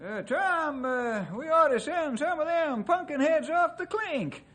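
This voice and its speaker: Cackly old cowboy sidekick, real high voice, swoops around, folksy, old radio western. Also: male voice, animated, measured pace, fairly bright tone, heavily acted. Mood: grumbling, joking. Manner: folksy, ungrammatical drawling talk.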